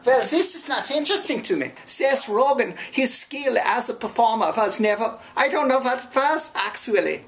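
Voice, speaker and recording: Pompous German man with a gravelly, creaky voice and indignant, stiff English, as a woman puts him on for a comedy sketch. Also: a little high, expressive, normal speed, few pauses. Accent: put-on German. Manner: indignant, haughty complaining in broken English.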